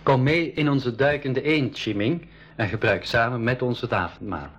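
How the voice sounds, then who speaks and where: A friendly, idealistic male adventurer, acted by a man speaks in a husky, mid-pitched voice. The delivery is earnest and declamatory, from an old lo-fi radio drama. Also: normal speed, lightly acted. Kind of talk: 1980s school radio play acting. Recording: dull lo-fi 1980s radio recording.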